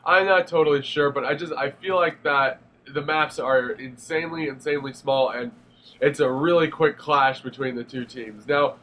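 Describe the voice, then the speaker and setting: A man's voice: fairly high pitch, fairly even intonation, moderate pace, sharp tone. A young man with a stuffy nose from a cold, giving a casual, rambling spoken review of a game with lots of fillers and restarts. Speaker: gaming video reviewer.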